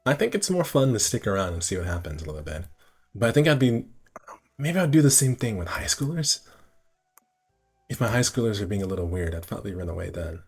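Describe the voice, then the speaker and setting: A man's voice: on the low side, big pitch swings, a little fast, slightly rough, faint music behind. A man reading a visual novel aloud on a stream with casual commentary, sometimes stumbling over words. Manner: stumbles over words and rereads phrases while narrating. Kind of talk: amateur read-aloud narration with casual commentary.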